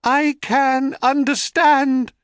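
A man's voice: very high-pitched, fairly even intonation, drawn-out words, fairly bright tone, no echo, voice pushed up, exaggerated voice. A man voicing a frightened young female nymph who stammers. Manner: stammering, timid.

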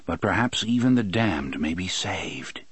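A man voicing a weary, stern gunslinger who speaks calmly and steadily in a lowered voice. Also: husky, no echo, full character voice. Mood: calm, solemn. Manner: calm, low, measured. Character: grim, weary older male gunslinger, calm and stern.

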